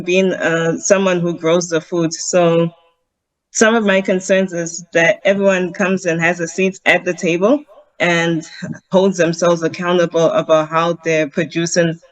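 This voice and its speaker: A woman's voice: lowish voice, no room sound, poor audio. Earnest woman from Zimbabwe, vegan chef. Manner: earnest, explains step by step with many ums. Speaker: vegan chef, interview guest. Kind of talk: remote interview answers. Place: home in Harare.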